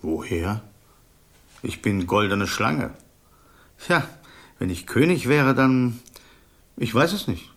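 An actor playing an enchanted snake, a princess's loyal guardian spirit, courtly and theatrical in a radio play. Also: male voice, mid-range voice, very animated, slow, long pauses, fairly bright tone, exaggerated voice. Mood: devoted, hesitant. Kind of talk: German radio play acting.

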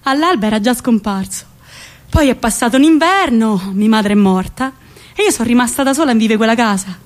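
A woman's voice: on the high side, lively intonation, a little fast, lightly acted. A woman playing an old village grandmother with a central Italian accent, telling her memories in a plain, reflective, colloquial theatrical monologue. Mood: reminiscing.

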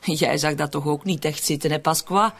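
A woman's voice: deep voice, steady tone, moderate pace, gravelly, fairly bright tone, husky, heavily acted. An actress playing a scheming, mocking woman in a radio drama, sardonic and coolly in control. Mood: accusing. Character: scheming, mocking woman fond of morbid jokes.

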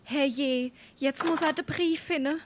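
An actress playing a young daughter and sweetheart in a dialect comedy, pert and coaxing, with a Darmstadt accent. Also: female voice, high-pitched, fairly even intonation, slow, background noise. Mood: alarmed. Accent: Hessian, Darmstadt dialect. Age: young adult. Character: young daughter and sweetheart, teasing but caring.

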